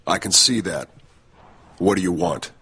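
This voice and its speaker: A man playing a brooding, edgy champion wrestler in a video game cutscene, terse, with a gravelly voice. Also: low-pitched, a little slow, sharp tone, exaggerated voice. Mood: irritated. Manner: terse, guarded.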